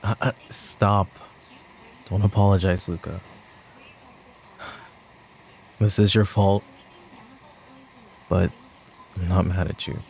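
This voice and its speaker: A man playing a weary, exasperated mafia boss in an ASMR audio drama, talking in a dry, halting way. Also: deep voice, flat delivery, vocal fry, a little mellow, slight background noise. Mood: resigned, self-blaming. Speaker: voice actor in audio roleplay.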